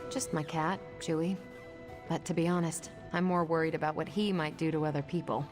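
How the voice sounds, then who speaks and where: A woman's voice: sits low, steady tone, brisk, a little mellow, soft background music, close to an everyday voice. A woman playing a dry, guarded young heroine. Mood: wry. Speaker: game character. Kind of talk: video game cutscene acting.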